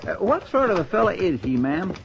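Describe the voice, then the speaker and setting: An actor playing a good-natured, grumbling frontier helper with a folksy rural Western American accent in a radio western. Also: male voice, sits high, sing-song, a little fast, gravelly, full character voice. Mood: weary, curious. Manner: folksy, plain-spoken. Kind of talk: 1950s radio western acting. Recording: noisy, dull old radio recording.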